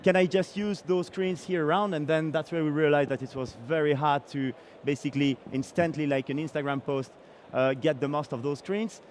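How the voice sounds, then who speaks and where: A man from Belgium, middle-aged, pitching his startup on stage with a slightly raised voice for a man. He keeps halting with fillers and restarting his sentences. There is faint traffic noise outside. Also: steady tone. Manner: hesitant, many fillers and restarts. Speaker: startup founder.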